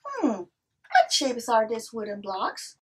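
Very high-voiced, playful woman teacher. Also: slow, slightly rough. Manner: animated, playful, child-directed delivery. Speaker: children's read-aloud presenter and teacher. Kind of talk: educational read-aloud for young children. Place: small indoor classroom inside a mobile learning vehicle. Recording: distant mic with faint room noise.

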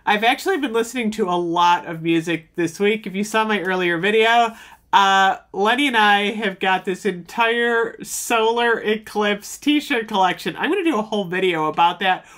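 A woman's voice: medium pitch, normal speed, fairly bright tone. A woman in her fifties chatting to camera at home, nostalgic and talkative, often exclaiming and filling with "um". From United States. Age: 50s. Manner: chatty and nostalgic, many "oh my gosh" and "um". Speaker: vlogger. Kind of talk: casual chat to camera. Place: small home room with shelves.

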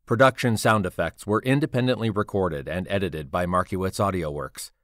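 A man giving a formal, measured scripted announcement as a credits reader. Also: low-pitched, a bit bright, no echo, studio quality. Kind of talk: scripted narration.

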